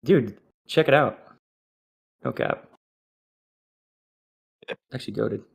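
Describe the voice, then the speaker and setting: Creaky, husky young streamer guy. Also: mid-range voice, takes it slowly. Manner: excitable, profane reactions to the game. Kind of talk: live gaming commentary.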